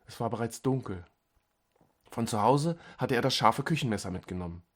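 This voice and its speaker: A man reads a short story as an audiobook narrator. His mid-pitched voice moves expressively up and down. He phrases dramatically and leaves deliberate pauses. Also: animated.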